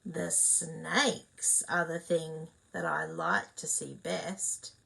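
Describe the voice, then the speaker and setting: A woman voicing a man in a zoo crowd in a picture book, speaking firmly and slowly. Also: deep voice, fairly even intonation, gravelly, bright, forceful tone, a little hiss or hum, voice pushed down, exaggerated voice, poor audio. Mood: disdainful. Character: zoo visitor man who dislikes lions.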